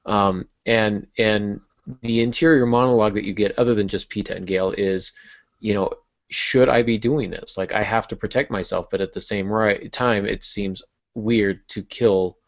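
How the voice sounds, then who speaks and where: A man with a low, creaky voice talks as a podcast host. He explains in an informal, conversational way with wry asides. The recording sounds a little muffled. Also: steady tone, normal speed. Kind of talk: conversational writing discussion. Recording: muffled lo-fi audio.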